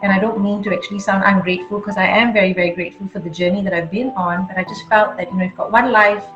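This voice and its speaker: A woman's voice: medium pitch, fairly even intonation, quick pace, fairly bright tone. Middle-aged woman, Malaysian-born, candid casual storytelling, echoey room, dull audio. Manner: candid, self-deprecating. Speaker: interview guest. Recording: roomy dull audio, clear music behind.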